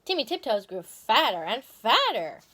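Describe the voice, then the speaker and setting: A woman reading a children's story aloud in a dramatic, expressive way. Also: a little high, moderate pace, a little hiss or hum.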